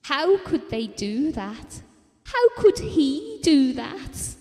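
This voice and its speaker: A woman's voice: sits high, very animated, extremely slow, mellow, exaggerated voice. A woman playing a fragile, grieving mother in an audio drama, tender, haunted and reflective. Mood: bitter hurt. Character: Ellen, fragile, grieving mother clinging to lost daughter. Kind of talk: intimate audio drama monologue. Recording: long reverberant hall sound.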